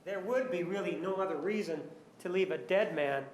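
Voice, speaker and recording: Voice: medium pitch, normal speed. Pointed courtroom lawyer in an echoey room. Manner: pointed leading questions ending in short tags. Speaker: lawyer questioning witnesses. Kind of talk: courtroom cross-examination. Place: large indoor courtroom. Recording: echoey courtroom, faint background noise.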